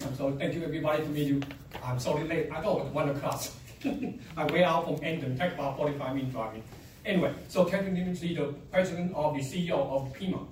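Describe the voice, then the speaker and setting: A man with a strong Chinese accent presents to a board, halting and upbeat, leaning on fillers as he goes. His voice sits a little high. It is picked up from across a very echoey room. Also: noisy background. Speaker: association presenter. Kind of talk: public meeting presentation. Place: meeting room. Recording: echoey room, mic at a distance.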